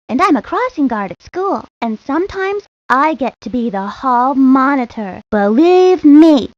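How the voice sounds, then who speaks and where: A woman's voice: on the high side, darkish tone, no echo, natural voice. A woman voicing a bossy, motherly young girl in a video game, confident, prim and self-important. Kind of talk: video game character monologue. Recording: dry, lo-fi 1990s game audio.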